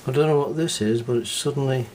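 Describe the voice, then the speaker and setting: A man's voice: mid-range voice, quick pace, a little mellow, slight background noise. A man casually narrating as he cleans parts of an old tape deck, muttering an easygoing running commentary. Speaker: hobbyist repairing a reel-to-reel deck.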